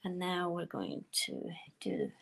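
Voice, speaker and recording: A woman with a quiet voice, chatting and explaining each step as she demonstrates card making at her craft desk. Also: on the low side, big pitch swings, takes it slowly, gravelly, breathy edge. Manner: chatty, explaining steps while working.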